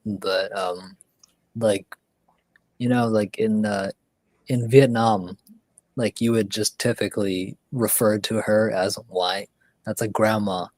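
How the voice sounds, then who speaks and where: Male stream host, low-pitched, dry close mic, loose rambling chat that dips into near whispers. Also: steady tone, slow pace, no room sound. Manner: rambling, filler-heavy, drops to near whispers. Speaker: livestream host. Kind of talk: casual chat.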